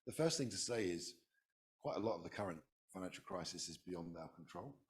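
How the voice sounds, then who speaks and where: A man on a conference panel, recovering from a virus, speaking in a halting way and often repeating words. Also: low voice, lively intonation. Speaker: public health specialist on a panel.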